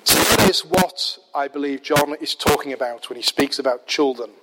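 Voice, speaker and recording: A man with a Yorkshire accent preaching a measured, explanatory sermon, often pausing with "uh". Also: on the high side. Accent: Yorkshire English. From Yorkshire, England. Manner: measured, explanatory, with frequent "uh" fillers. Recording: loud background noise.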